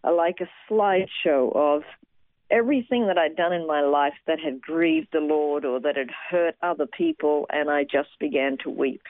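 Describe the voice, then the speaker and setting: A middle-aged woman from Australia, a guest telling her faith story earnestly with many fillers and pauses. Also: low voice, dry recording. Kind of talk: personal testimony interview. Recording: tinny phone-like sound, dry.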